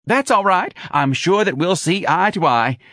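A man voicing a dapper, eager and easily flustered male office manager in an audiobook, bright and effusive in manner. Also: high voice, lively intonation, unhurried, no echo, clean studio sound, pitched above the performer's own voice. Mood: eager welcome. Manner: bright, effusive, anxiously apologetic.

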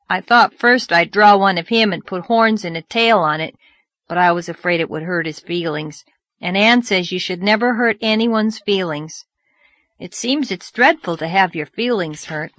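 A woman's voice: mid-range voice, fairly bright tone, no echo, close to an everyday voice. A woman voicing an earnest, chatty six-year-old boy with childish grammar, in a storybook reading. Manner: childish grammar and clipped words.

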